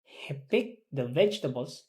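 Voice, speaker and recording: A woman learning English, reading drilled words and sentences aloud in a careful, halting way. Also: very deep voice, animated, a little mellow.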